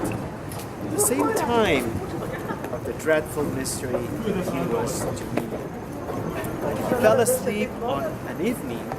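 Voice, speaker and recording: A man reading a literary text aloud in a halting way, stumbling and repeating words. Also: on the high side. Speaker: reader of a novel. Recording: distant mic, loud outdoor traffic noise.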